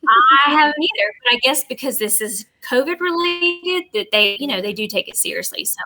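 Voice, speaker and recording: A woman's voice: sits high, big pitch swings, fairly quick, sharp tone, rough recording. A woman giving a casual, conversational travel review as a podcast guest, chatty and agreeable with emphatic affirmations.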